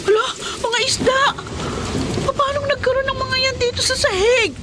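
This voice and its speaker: A woman's voice: very high voice, steady tone, normal speed. A woman playing a worried, loving fisherman's wife in a Filipino radio horror drama, fretful and pleading, with a Filipino accent. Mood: alarmed. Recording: noisy, rain ambience behind.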